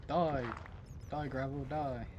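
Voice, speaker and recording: A man's voice: mid-range voice, fairly even intonation, slow, dull audio, noisy background. A British man chatting casually with viewers while playing a game live, greeting them by name in a goofy way. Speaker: livestream gamer. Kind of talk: casual live-stream chat while gaming.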